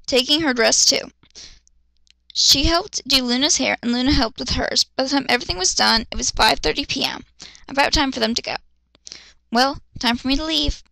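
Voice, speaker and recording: A woman with a high, sharp voice reads fiction dramatically, breaking into exasperated commentary. She is sarcastic and swears freely, with fry in her voice and a close, dry sound. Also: brisk, dry recording, poor audio. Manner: exasperated, sarcastic, foul-mouthed. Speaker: host of dramatic fan fiction readings. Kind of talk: dramatic reading with ranting asides.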